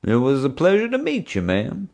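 A man's voice: on the high side, huge pitch swings, moderate pace, gravelly, darkish tone, voice pushed up, full character voice. A man voicing a policeman who tries to sound suave and polite. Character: smooth-talking man officer trying to sound suave.

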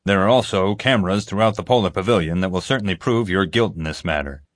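A male narrator acts as a stern investigator in an audiobook. He is controlled and firm, with a low voice and measured, accusing delivery. The recording is dry. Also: dry recording, natural voice.

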